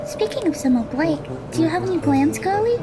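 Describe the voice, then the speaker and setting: A woman playing a cheerful, easygoing and curious Japanese high school girl in an audio drama. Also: animated, fairly quick, over music. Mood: eager.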